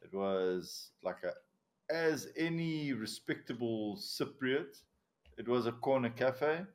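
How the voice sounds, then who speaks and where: A man's voice: mid-range voice, expressive, takes it slowly. A man with a South African accent, first language Afrikaans, telling stories in a casual, laughing, swearing podcast chat. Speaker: podcast guest, commercial diver.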